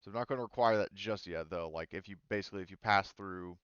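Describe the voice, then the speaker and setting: A man's voice: low-pitched, fast, fairly bright tone, smooth, dry recording. A man running a casual online tabletop role-playing game as game master, explaining in hesitant pieces. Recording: dull, slightly muffled audio.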